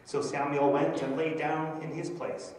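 A man's voice: fairly high pitch, level tone, measured pace, a little mellow, a little hiss or hum. A middle-aged man with a Canadian accent, a minister presenting a project and reading scripture in a church, hesitant and full of fillers. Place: church sanctuary before a projector screen. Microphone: handheld microphone. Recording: echoey church from a far camera.

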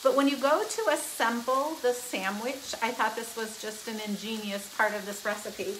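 A woman in her fifties cooks live in her home kitchen and explains every step as she goes. Her voice is a touch high and bright, and her talk is chatty and upbeat. She speaks into a phone camera, with a faint sizzle from the pan behind her. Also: fairly even intonation, moderate pace, slight background noise, rough recording. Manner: chatty and upbeat, explaining steps while cooking. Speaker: home cook hosting a live cooking stream. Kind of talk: casual cooking demonstration. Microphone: phone camera, often held close, sometimes across the kitchen.

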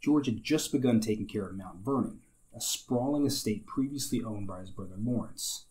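A young man with a slightly raspy, hoarse voice, hosting a history channel and narrating from a script with wry conversational asides. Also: fairly low pitch, moderate pace, mellow. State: recovering from illness, slightly hoarse.

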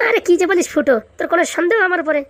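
A woman's voice: extremely high pitch, lively intonation, very fast, fairly bright tone, dry recording, clean studio sound. A woman voicing a blustery, cheeky village man in a comic cartoon, blunt and colloquial. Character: blustery, cheeky village elder-brother figure.

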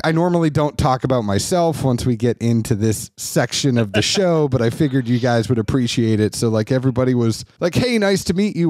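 A man's voice: medium pitch, a little mellow, no echo. American man hosting a dry, close-sounding podcast. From Massachusetts, United States. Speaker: podcast host. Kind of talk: casual interview chat.

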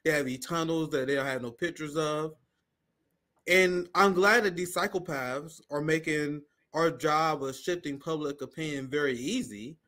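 A man's voice: on the high side, moderate pace, sharp tone. Heated man in his thirties, webcam commentary. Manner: heated, scornful, laughing between points. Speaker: podcast co-host. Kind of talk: casual reaction commentary. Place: home room. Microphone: video call webcam.

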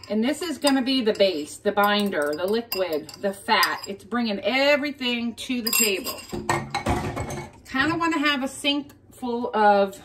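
A woman giving a casual cook-along demonstration in her home kitchen, chattily talking viewers through each step. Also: medium pitch. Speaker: home cooking vlogger. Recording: clear kitchen noise, clinking dishes.

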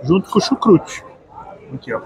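A man's voice: high-pitched, lively intonation, normal speed. A man in his fifties with a Brazilian Portuguese accent, tasting dishes in a busy restaurant and humming with pleasure at each bite. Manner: savoring, relishing each bite with hums. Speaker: food vlogger. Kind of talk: casual food tasting vlog. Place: large busy open-air restaurant.

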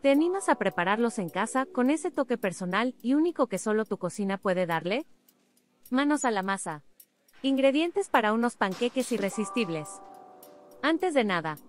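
A woman reading a scripted recipe voice-over in a smooth, upbeat, evenly paced way. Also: on the high side, sing-song, normal speed. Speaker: recipe narrator. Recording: clear studio voice-over over music.

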